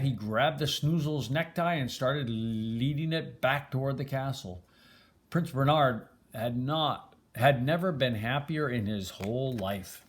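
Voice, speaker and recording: An older man reading a children's storybook aloud as a grandfather would, with expression. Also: mid-range voice.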